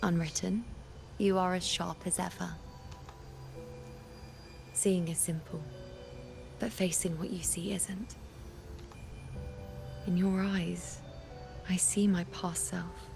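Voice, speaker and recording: A woman playing a solemn, resolute seer in a video game, speaking gravely and deliberately over background music. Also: on the low side, fairly even intonation. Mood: pensive. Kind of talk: scripted video game story acting.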